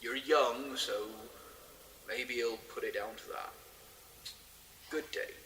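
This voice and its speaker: A man's voice: medium pitch, slow, natural voice. An actor playing a superstitious, God-fearing village man who speaks in a plain, rustic, admonishing way in an audio drama. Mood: grave resignation. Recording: echoey reverberant church, faint noise.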